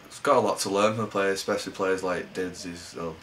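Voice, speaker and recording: A young man from England, a rugby league player giving low-key, halting interview answers. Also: fairly even intonation, a bit bright, a little hiss or hum.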